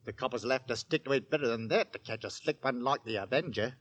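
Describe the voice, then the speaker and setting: An actor playing an opinionated, morbidly curious Victorian husband with a working-class London accent, in 1940s radio style. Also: male voice, medium pitch, brisk, fairly bright tone, natural voice. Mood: scoffing. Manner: colloquial, scoffing, knowing.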